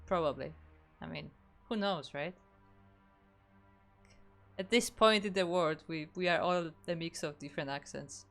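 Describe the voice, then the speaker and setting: A woman's voice: sits low, a little slow, long pauses, soft background music. A woman from Poland with a Polish accent, casting a live game tournament in a chatty way, often restarting her sentences. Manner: chatty, reads out chat, frequent restarts. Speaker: esports caster.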